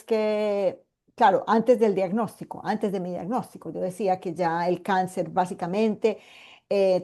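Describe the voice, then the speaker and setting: A woman in her fifties from Colombia with a Paisa accent, a geneticist giving an online presentation and explaining patiently while pausing to find her words. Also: mid-range voice, mellow. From Colombia (Medellín). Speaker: geneticist and guest speaker. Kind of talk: online presentation to a support group.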